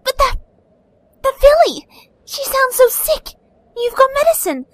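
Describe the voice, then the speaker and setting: A woman's voice: very high voice, big pitch swings, measured pace, dry recording, full character voice. A woman voicing an earnest, kindhearted young pony mare in an audio drama, with a polite, pleading manner. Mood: pleading, alarmed.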